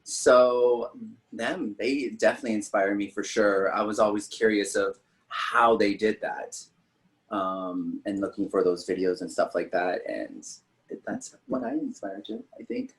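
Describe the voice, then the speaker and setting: A man who works in special effects, answering in a relaxed way with plenty of fillers. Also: fairly low pitch, a little slow, low-quality recording. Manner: relaxed, filler-laden talk. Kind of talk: casual interview answers.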